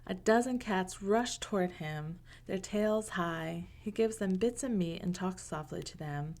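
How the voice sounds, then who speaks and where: A woman reading a picture book aloud to children in a gentle, unhurried way, with casual asides. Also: on the low side. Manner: gentle, unhurried, pausing between short phrases.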